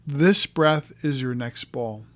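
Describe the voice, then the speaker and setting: A man narrating a guided meditation as a coach, giving calm, gentle instructions in short, measured phrases. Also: medium pitch, no room sound.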